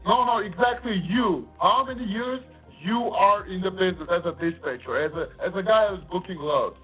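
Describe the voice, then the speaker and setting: A man's voice: sits high, moderate pace, husky, soft background music. A man on a heated phone call, arguing combatively in broken phrases and repeating himself. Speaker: caller. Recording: tinny phone line.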